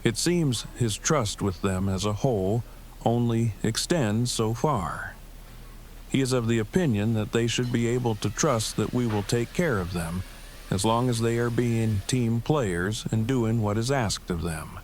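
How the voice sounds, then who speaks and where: A man's voice: medium pitch, unhurried, slight background noise, natural voice. An actor playing a formal, verbose, earnest man surviving an apocalypse in an audio drama, speaking stiffly and precisely. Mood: dry, matter-of-fact. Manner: stiff, precise, long-winded wording, dry. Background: rain ambience.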